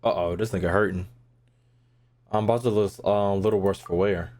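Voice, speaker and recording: A man's voice: fairly low pitch, steady tone, normal speed, a little mellow. A man streaming a video game, chatting loosely and joking with crude slang as he reacts. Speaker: gaming streamer. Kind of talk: casual let's-play commentary.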